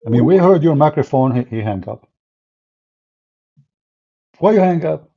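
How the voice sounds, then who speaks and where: Husky-voiced man hosting a livestream. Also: a little high, animated, unhurried, mellow. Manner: mocking, sarcastic, non-native English phrasing. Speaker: livestream host. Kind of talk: livestream talk with callers. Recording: dull lo-fi stream audio.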